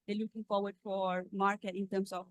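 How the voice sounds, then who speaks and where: A woman with a Malaysian accent speaks up from the audience, explaining her point at length in an earnest, slightly hesitant way. Her voice is mid-pitched, and the sound is dry with no reverb. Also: little pitch movement, fairly quick. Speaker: audience member. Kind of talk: long comment in a Q&A.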